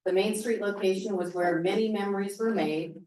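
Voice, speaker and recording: A woman reads a prepared public comment at a community meeting, projecting loudly. She sits a little away from the mic, and the room throws back a strong echo. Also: mid-range voice, fairly even intonation, a little fast. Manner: loud, projecting voice. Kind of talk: reading a prepared statement. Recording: echoey meeting room, voice from a distance.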